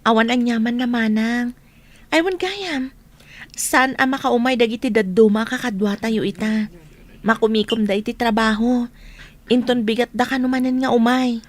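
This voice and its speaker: A woman's voice: a little high, normal speed, close to an everyday voice. A woman playing a kind, supportive village friend in a radio drama, speaking earnestly and with sympathy. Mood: reassuring.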